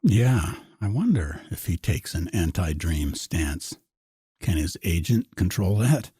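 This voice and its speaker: A man with a husky voice talking in a wry, musing way as a podcast host. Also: low-pitched, very animated, takes it slowly, dark tone, no echo, clean studio sound. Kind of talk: conversational podcast discussion.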